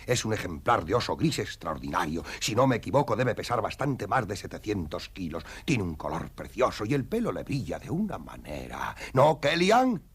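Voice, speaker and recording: A male actor voicing a boastful middle-aged bear hunter in a dubbed cartoon, blustering and exclamatory with a raspy voice. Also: lowish voice, sing-song, moderate pace, few pauses, full character voice. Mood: admiring, scheming. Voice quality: raspy, gravelly. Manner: blustering, exclamatory, swinging from hushed to shouting.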